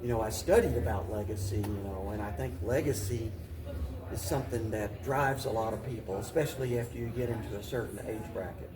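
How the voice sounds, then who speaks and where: An older man giving reflective interview answers as a mayor, haltingly, often repeating and restarting his phrases. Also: lowish voice, a crowd in the background. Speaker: mayor, talk show guest. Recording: roomy, distant mic, voices in background.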